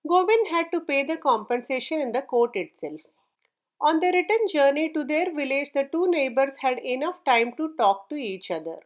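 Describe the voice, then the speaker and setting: A woman narrating a children's story from a script in a measured, clearly enunciated read-aloud manner. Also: sits high, expressive.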